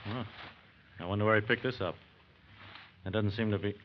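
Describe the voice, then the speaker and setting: An actor playing a sober, methodical, businesslike FBI agent in an old-time radio drama. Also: male voice, on the low side, steady tone, normal speed, lightly acted. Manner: businesslike, clipped, authoritative. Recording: muffled, slightly noisy old radio recording.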